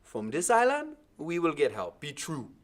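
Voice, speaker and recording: Man acting old island man, stubborn, gruff, protective, raised pitch, emphatic drawn-out delivery, storybook character. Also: huge pitch swings. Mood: steady, insistent. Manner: emphatic dialect speech, loud and drawn out.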